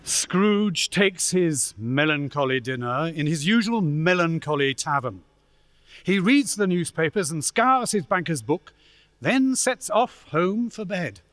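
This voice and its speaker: An actor narrating a radio play as a measured, dramatic storyteller of a gloomy ghost tale. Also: male voice, a little high, expressive, fairly bright tone, dry recording, studio quality.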